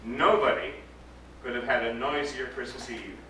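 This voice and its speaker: A man's voice: medium pitch, normal speed, sharp tone. A man giving a dramatic storybook recital to a live gathering, lingering theatrically on his phrases. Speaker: actor giving a reading. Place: live event before an audience. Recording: roomy echoing live recording, distant microphone, faint noise.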